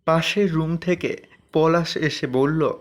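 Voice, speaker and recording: A man's voice: fairly high pitch, fairly even intonation, takes it slowly. A man narrating a scripted cartoon story as the narrator. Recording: music behind the voice.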